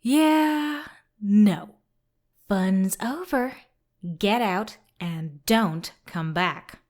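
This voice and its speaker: A woman's voice: mid-range voice, expressive, drawn-out words, a little mellow. A woman voicing a wry, unflappable young female ghost hunter who taunts spirits in a fan audio drama. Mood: mocking. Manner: dry, mocking taunts.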